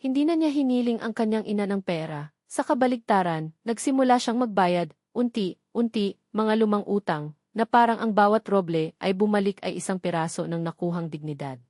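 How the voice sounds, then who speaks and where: A woman's voice: mid-range voice, moderate pace, a little mellow, no room sound, studio quality. A woman reading a scripted story as its narrator.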